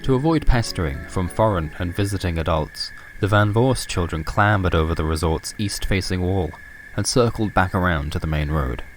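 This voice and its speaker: A man's voice: sits low, moderate pace, soft background music. A man reading a scripted, surreal horror story as its narrator.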